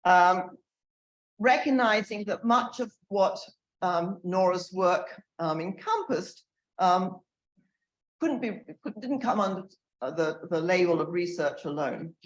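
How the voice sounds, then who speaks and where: An older woman speaking at an academic panel, earnest and loud, with frequent fillers and restarts. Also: lowish voice, animated, takes it slowly. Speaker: panel speaker, emerita professor. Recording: echoey room.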